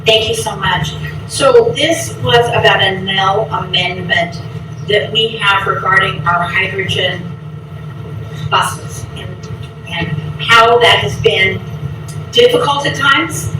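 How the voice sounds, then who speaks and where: A woman chairing a committee, reporting to a public board meeting in an upbeat, effusive way with a loud voice. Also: medium pitch, slow. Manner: upbeat, effusive, restarts often. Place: large echoey meeting room. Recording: echoey, distant, noisy meeting recording.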